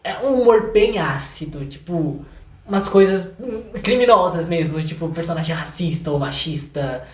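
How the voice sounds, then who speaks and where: A man's voice: on the high side, expressive, normal speed, a little mellow. Young Brazilian man in a roomy echo. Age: young adult. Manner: informal, repeats words for emphasis. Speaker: interview guest, video maker and film student. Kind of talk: casual chat. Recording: roomy echoing sound.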